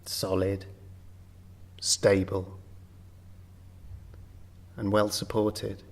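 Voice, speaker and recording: A man leading a guided yoga nidra meditation, speaking gently in short phrases with long, unhurried pauses. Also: fairly low pitch, level tone, gravelly. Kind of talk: guided meditation, slow spoken relaxation instruction.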